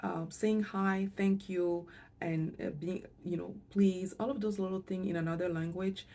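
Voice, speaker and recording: Casting: middle-aged female vlogger, mother tongue, deep warm voice, hesitant and reflective delivery. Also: steady tone, unhurried. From Canada, moved there with parents, lived in Montreal. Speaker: financial coach and vlogger. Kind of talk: personal vlog talk to camera.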